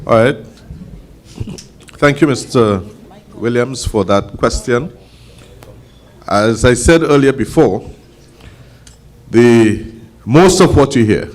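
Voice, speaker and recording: A man, an official answering firmly and deliberately from a town hall panel. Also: mid-range voice.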